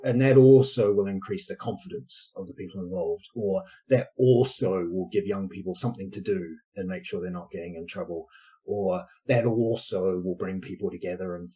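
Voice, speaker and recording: A man with a New Zealand accent talks through his ideas in a podcast interview, pausing often on fillers as he builds each point. His voice is very dark and mellow, with a husky, slightly breathy edge. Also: sits low, low-quality recording. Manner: thoughtful, explanatory, many fillers. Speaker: guest, basketball coach and social entrepreneur.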